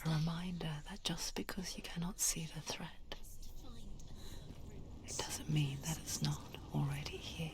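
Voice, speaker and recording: A woman playing a knowing, ominous inner voice that narrates a game story in a hushed, deliberate, intimate whisper. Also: deep voice, steady tone. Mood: ominous warning.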